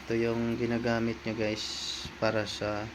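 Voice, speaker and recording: A man's voice: medium pitch, completely flat delivery, normal speed, background noise. A man with a Filipino accent giving a casual hands-on unboxing review, talking informally to viewers and often repeating himself. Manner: informal, repetitive, talking to viewers as he handles items. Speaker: vlogger, product reviewer.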